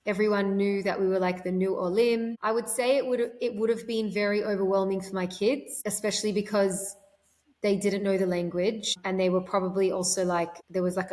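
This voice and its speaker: A woman, a podcast guest, talking in a chatty, rambling way with lots of fillers and restarts. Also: medium pitch. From Australia. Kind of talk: conversational interview. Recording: echoey room with long reverb.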